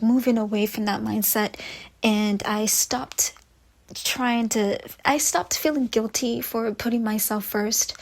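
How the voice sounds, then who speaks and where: A woman hosting a casual fan commentary video, speaking in an expressive, gushing way. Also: on the high side. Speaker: video host reviewing game phone calls.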